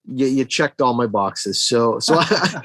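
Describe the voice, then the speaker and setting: A man's voice: medium pitch, expressive, a little slow, fairly bright tone, slightly rough, no room sound. Middle-aged man chatting, dry close sound. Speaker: podcast co-host reviewing movies. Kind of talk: casual film discussion.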